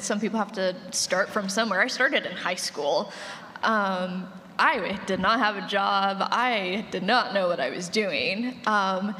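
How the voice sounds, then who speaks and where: A woman with a mid-pitched voice speaks in a large, echoey space over faint noise. She gives panel advice in a direct, plain-spoken manner. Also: a bit bright. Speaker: panelist, podcast producer. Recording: echoey noisy hall with room ambience.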